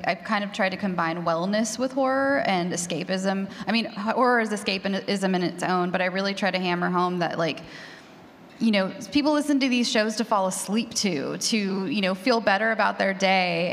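A woman's voice: medium pitch, fairly bright tone. A woman speaking casually and earnestly as a panelist. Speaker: panelist and horror podcast host. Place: large indoor room at a convention panel. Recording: reverberant hall.

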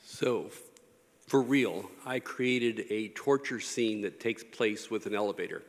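A man speaking casually from the audience, telling a wry, halting anecdote before a question. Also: lowish voice. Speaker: audience member asking a question. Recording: reverberant hall sound.

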